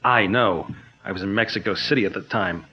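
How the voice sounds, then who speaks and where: A man's voice: low voice, brisk, close to an everyday voice. A man playing a genteel male engineer and amateur archaeologist, polite, eager and a little nervous, in 1940s radio style. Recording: dull lo-fi old-radio sound.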